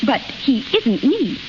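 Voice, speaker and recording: An actress playing a brave, gracious young composer in a 1930s radio drama, refined, light and affectionate. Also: female voice, fairly high pitch, very animated, drawn-out words, full character voice. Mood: wistful bravery. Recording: muffled, faintly hissy 1930s radio, hall reverb.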